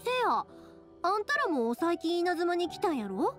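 A woman's voice: very high voice, very animated, unhurried, full character voice. A woman playing a spirited, caring young fireworks maker in a game, speaking reassuringly with a Kansai accent. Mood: friendly, thoughtful. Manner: reassuring, friendly, earnest. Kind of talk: video game voice acting. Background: background music.

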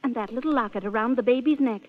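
An actress plays a brisk, motherly woman in an old radio drama. Her voice is high and emphatic, pressing ahead with urgency. The broadcast sounds narrow and tinny. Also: natural voice. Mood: puzzled, insistent. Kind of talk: 1930s radio drama acting.

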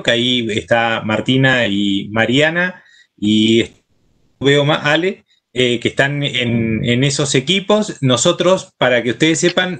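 Middle-aged Argentine man speaking haltingly. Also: mid-range voice, fairly bright tone. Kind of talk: informal thank-you remarks on a video call. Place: indoor room with bookshelves. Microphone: computer mic on a video call.

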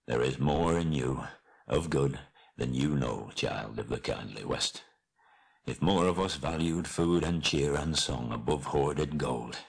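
A man's voice: very deep voice, creaky, slightly rough, pitched below the performer's own voice, full character voice. A man voicing a proud dwarf king on his deathbed in a storybook, solemn, repentant and formal. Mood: gentle, grave. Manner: slow, grave, formal.

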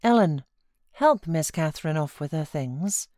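A woman's voice: deep voice, expressive, unhurried, dark tone, no echo. A genteel young British wife as a woman narrator plays her from a classic storybook, fussy and used to giving orders. The sound is dry. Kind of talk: storybook character reading.

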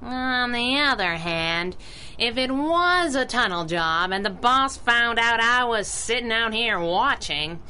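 Spunky 30s radio superheroine, high sharp voice that swoops, sassy quips, bit of background noise. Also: female voice, lively intonation, slow, exaggerated voice, low-quality recording. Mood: restless, self-chiding. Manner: sassy, self-narrating pulp patter. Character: plucky, wisecracking young female masked crimefighter sidekick. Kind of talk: 1930s-style radio drama acting.

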